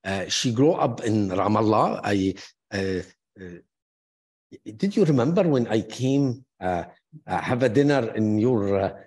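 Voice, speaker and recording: Halting man introducing academic speakers. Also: fairly low pitch, animated, breathy edge. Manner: halting, many fillers and restarts; warmly praising, personal asides. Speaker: panel moderator introducing speakers.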